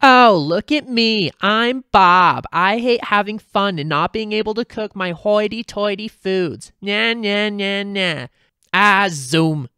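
Guy doing a bubbly bossy cartoon mom, super high singsongy voice, drags words out, close dry recording. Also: sharp tone, clear, resonant tone, no room sound, exaggerated voice. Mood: mocking. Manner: exuberant, drawn-out, singsong exclamations. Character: loud excitable middle-aged mother, bubbly and bossy.

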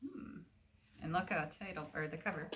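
A woman's voice: low voice, fairly even intonation, brisk, fairly bright tone. A woman in her thirties reading aloud and chatting casually with a child at home. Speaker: adult reading a picture book to a child. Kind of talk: casual read-aloud with chat. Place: living room sofa at home. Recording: faint noise, home video.

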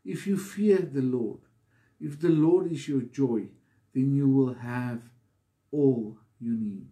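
A man preaching a devotional sermon in an earnest, deliberate manner, pausing between short phrases. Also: medium pitch, mellow. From South Africa.

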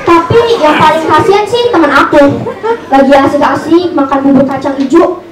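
A woman's voice: high voice, moderate pace, recorded from a distance, a loud crowd. A young woman performing a stand-up comedy routine as storytelling with punchlines. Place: small indoor comedy venue with audience. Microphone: handheld mic through speakers, recorded from audience. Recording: echoey room, off-mic camera audio.

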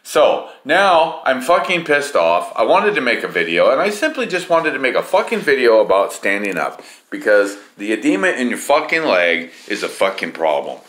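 A man's voice: fairly low pitch, sing-song, brisk, hardly any pauses, fairly bright tone, reverberant. A man vlogging into his phone in a put-on Scottish accent, swearing in nearly every sentence as he rants. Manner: profane, cursing constantly. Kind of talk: casual vlog to camera. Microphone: phone camera.

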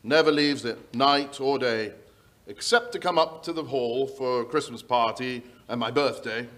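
A man's voice: mid-range voice, moderate pace, fairly bright tone, lightly acted. A middle-aged man playing a worried, polite aristocratic landowner, telling his tale hesitantly in old-time radio style. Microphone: shared stage microphone.

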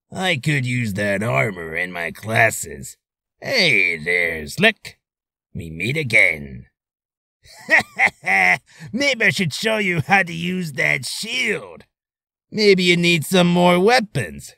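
A man's voice: fairly low pitch, vocal fry, sharp tone, no room sound, exaggerated voice. A man voicing a smug, cynical mercenary with sardonic, casual menace for a voice reel. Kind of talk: character voice reel.

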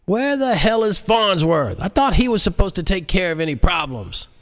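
An impatient, blunt president from an audiobook thriller, acted out by a male reader. His voice is pushed well up in pitch as he demands answers. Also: very animated, normal speed. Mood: irritated, demanding. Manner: forceful, impatient questioning.

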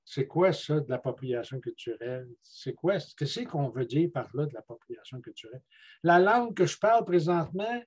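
Older Quebec man, mellow voice. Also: fairly high pitch, animated, moderate pace, husky. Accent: Quebec French. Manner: rambling, repeats words while searching for them. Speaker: guest speaker, traditional elder. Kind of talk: casual talk at a videoconference. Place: room at home with bookshelves. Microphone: earbuds on a video call.

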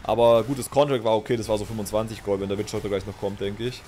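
Young guy streaming, talks to chat as he plays, lowish voice, faint hiss. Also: level tone, fast, dark tone. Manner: chatty, thinks aloud, thanks viewers. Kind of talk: casual game commentary to chat. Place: small indoor room.

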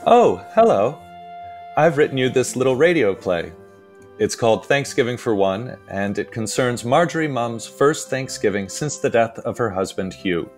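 An actor playing an effusive, awkward, self-correcting steakhouse waiter who narrates a comic radio play. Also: male voice, mid-range voice, expressive, normal speed, soft background music, lightly acted. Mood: confiding. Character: chatty, over-eager, awkward male steakhouse waiter and narrator. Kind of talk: radio play comedy acting.

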